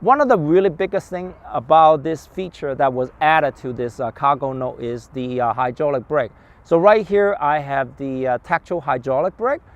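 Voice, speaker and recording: A man presenting a product informally outdoors, explaining in a halting, repetitive way with urban traffic around. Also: on the high side, lively intonation, dull audio. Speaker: bike shop presenter.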